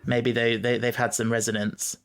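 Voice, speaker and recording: A man's voice: mid-range voice, flat delivery, moderate pace, a bit bright. A man hosting a casual fan podcast, talking enthusiastically and tentatively, often checking in with little tag questions. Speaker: podcast host.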